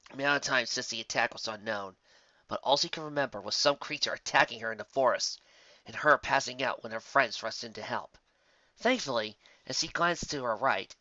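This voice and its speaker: A man reading scripted story narration as an amateur, expressive and occasionally stumbling over the text. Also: mid-range voice, no room sound, lo-fi sound.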